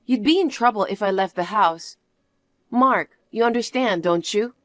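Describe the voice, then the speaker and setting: A woman's voice: mid-range voice, moderate pace, fairly bright tone, dry recording, studio quality, natural voice. A woman voicing a young working wife who protests against her in-laws. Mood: firm. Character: young working wife, composed but wronged. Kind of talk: one-voice drama reading.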